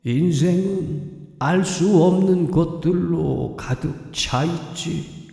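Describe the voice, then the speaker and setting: Slow, echoing otherworldly old man played by a man. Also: on the high side, creaky, dark tone. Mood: calm, solemn. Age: older. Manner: slow, measured, philosophical. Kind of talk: audio drama acting. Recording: long cavernous reverb, music behind.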